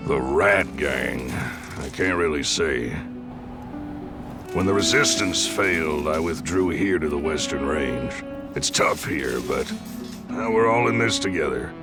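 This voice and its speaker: A very deep-voiced old war captain for a video game. He is gruff and plain-spoken, a loyal, weary veteran talking like a commander to a comrade. Loud music plays over him. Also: unhurried. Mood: grave, weary. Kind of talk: English video game cutscene acting.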